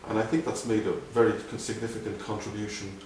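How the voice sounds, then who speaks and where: A middle-aged man with a Dublin Irish accent, answering interview questions in a halting way, with many restarts. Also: on the low side, flat delivery, a little mellow, a little hiss or hum. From Ireland. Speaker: guest, doctor. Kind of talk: conversational interview. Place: museum room. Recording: echoey room, from a distance.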